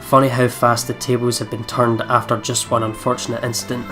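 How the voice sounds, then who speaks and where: A man's voice: mid-range voice, level tone, fairly quick, over music. A man hosting a let's play, reading visual novel narration dramatically and chatting casually in between.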